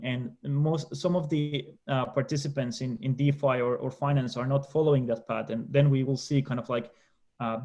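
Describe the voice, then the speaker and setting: A young man from Finland with a Finnish accent, speaking as a debate panelist on a video call, often pausing and restarting. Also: mid-range voice, a little mellow. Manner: hesitant, many restarts and fillers.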